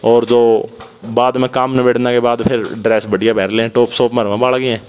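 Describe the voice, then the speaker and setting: A man's voice: mid-range voice, flat delivery, brisk, smooth. A young man with a Haryanvi accent talks casually and chattily to viewers as a vlogger, filming on a handheld phone. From Haryana, India. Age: young adult. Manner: chatty, repeatedly addresses viewers as brother. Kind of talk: casual vlog commentary. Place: unfinished concrete house, indoors and at doorway.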